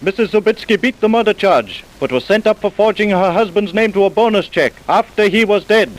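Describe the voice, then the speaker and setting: An actor narrating an old radio crime drama in an emphatic, declamatory manner. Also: male voice, sits high, a little fast, lightly acted, low-quality recording. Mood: grave, emphatic.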